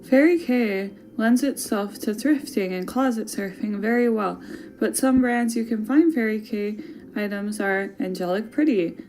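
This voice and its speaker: Chatty woman host, Wisconsin accent, playful delivery, soft music bed. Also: medium pitch, measured pace. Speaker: podcast host. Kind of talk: casual fashion podcast chat.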